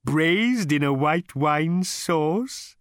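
A man voicing a polite, eager talking cow that offers itself as dinner, in a slow, genteel, ingratiating manner. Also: fairly high pitch, lively intonation, fairly bright tone, no room sound, studio quality, voice pushed up, full character voice. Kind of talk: audiobook character voice.

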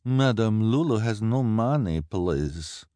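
A man voicing a scheming, greedy female fortune-teller who flatters and speaks broken English with a strange foreign accent. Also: on the low side, slow, no room sound, voice pushed up. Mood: complaining. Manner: wheedling, ends phrases with "please". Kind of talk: audiobook character voice.

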